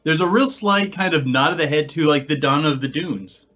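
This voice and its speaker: A man's voice: a little high, moderate pace, a bit bright, dull audio. A man hosting a podcast in a casual, chatty style. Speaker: podcast host.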